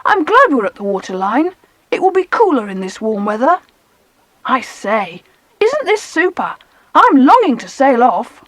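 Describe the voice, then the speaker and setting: Lady voicing a keen schoolboy, high and bouncy pitch, polite, audiobook play. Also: very animated, unhurried, dry recording, full character voice. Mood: pleased, eager. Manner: eager, boyish. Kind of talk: dramatised children's audiobook acting.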